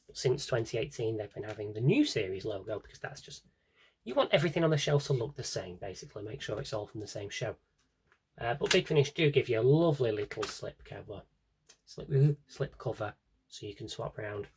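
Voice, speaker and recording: A man's voice: fairly low pitch, sing-song, brisk, a little hiss or hum. A British man chatting casually and unscripted as he shows off a collection, rambling, correcting himself and throwing in dry, offhand humour. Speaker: video blogger showing an audio drama CD collection.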